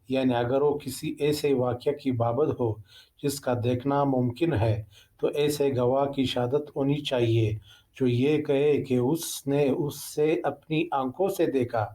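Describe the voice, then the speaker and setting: Male law lecturer, Pakistani accent, unhurried and soft-edged, reciting statutes formally. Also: mid-range voice. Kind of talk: reading legal text aloud.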